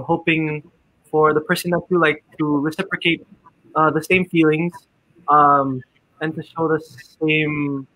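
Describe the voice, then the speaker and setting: A man's voice: a little high, sharp tone, rough recording. A man in his twenties with a Filipino accent, a guest singer chatting easily on the radio and slipping between English and Tagalog. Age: 20s. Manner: easygoing, mixes English with Tagalog, many fillers. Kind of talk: casual radio interview.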